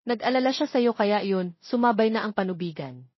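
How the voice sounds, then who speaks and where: A woman's voice: mid-range voice, expressive, a little fast, no echo, highs cut off, lightly acted. A woman voicing a concerned, caring middle-aged mother in a narrated love story. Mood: worried.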